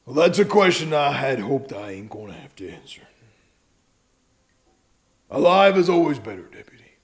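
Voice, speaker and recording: An actor playing a stern but fatherly, world-weary sheriff in a western audio drama, with an American Southern drawl and a deliberate, folksy manner. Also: sing-song. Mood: commanding, dry. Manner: deliberate, folksy, swinging from hushed to booming.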